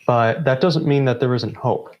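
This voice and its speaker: A man delivering a prepared closing address in deliberate phrases broken by pauses. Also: medium pitch, dull audio. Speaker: member of a student theatre group giving closing remarks.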